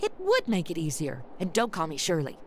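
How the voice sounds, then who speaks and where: A woman's voice: on the low side, wildly swooping pitch, fairly quick, heavy background noise. An actress playing a sassy modern young woman in a comedic radio drama, sarcastic and slangy.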